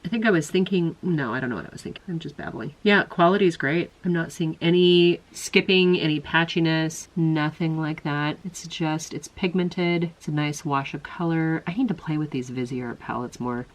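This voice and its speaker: Low-voiced congested woman chatting makeup. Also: poor audio. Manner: chatty, rambling, self-questioning. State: congested from illness. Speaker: beauty vlogger. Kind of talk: casual makeup try-on chat.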